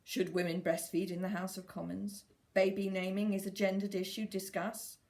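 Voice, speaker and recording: A woman's voice: mid-range voice, little pitch movement, moderate pace, a little mellow, lightly acted. A woman voicing a precocious, polite but disapproving talking fetus in a read story, formal and probing in manner. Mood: interrogating. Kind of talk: storybook character reading.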